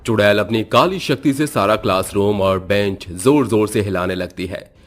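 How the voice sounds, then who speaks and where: Slightly low-pitched man narrating horror stories. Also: normal speed. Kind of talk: dramatic scripted horror story narration.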